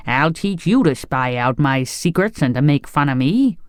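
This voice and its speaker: Narrator doing a wicked old witch lady, storybook style, crafty, excitable, voice swooping all over. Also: medium pitch, unhurried, gravelly, no echo, clean studio sound. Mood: furious. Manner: crafty, exclamatory, theatrical.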